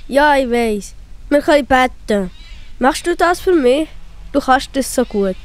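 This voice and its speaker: A woman's voice: sits high, lively intonation, unhurried. A woman voicing a young schoolboy, honest and eager, with a Swiss German accent, in a children's audio play. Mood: regretful.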